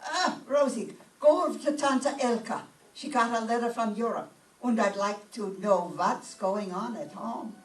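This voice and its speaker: Lady acting a fussy yiddish accent mama, old radio comedy style, slow talker, echoey room. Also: fairly high pitch, lightly acted. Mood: fussing, affectionate. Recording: roomy stage pickup, distant mic, faint noise.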